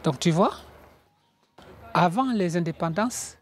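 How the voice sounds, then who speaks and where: A man's voice: sits high, animated, slow pace. A man born in former French West Africa, speaking earnestly in a testimony about feeling French. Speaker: interviewee, association founder.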